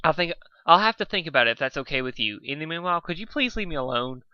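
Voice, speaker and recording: Guy doing a shy anxious little mare, pitched up, hesitant and pleading, storybook style, lo-fi mic. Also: expressive, quick pace, clean tone, dry recording, natural voice. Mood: nervous refusal. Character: timid, anxious young female pegasus pony.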